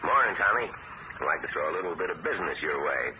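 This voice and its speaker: A middle-aged actor playing a sly, self-pitying uncle who talks smoothly and wheedles, his voice jumping up in pitch, in a 1970s radio drama. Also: very animated, fast talker. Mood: scheming. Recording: muffled old radio broadcast with hiss.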